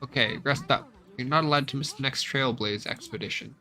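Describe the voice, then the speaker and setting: A man's voice: medium pitch, a little fast, pushed and bright, faint music behind, lightly acted. A man voicing a perky, pushy young female adventurer from a space video game, eager and emphatic. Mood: apologetic, caring.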